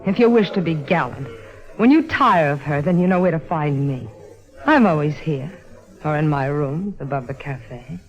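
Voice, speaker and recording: Haughty cabaret singer with sweeping intonation. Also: female voice, deep voice, lively intonation, normal speed, lo-fi sound, faint music behind. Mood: wounded pride. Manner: haughty, theatrical. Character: proud, possessive cabaret singer in a Casbah cafe. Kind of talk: old-time radio drama acting.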